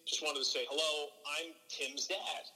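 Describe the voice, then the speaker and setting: Man, middle-aged, sportscaster, very bright tone, echoing reverb. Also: on the high side, moderate pace, faint music behind. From from New Jersey. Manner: earnest, animated, frequent restarts. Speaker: baseball play-by-play broadcaster, podcast guest. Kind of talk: relaxed interview storytelling.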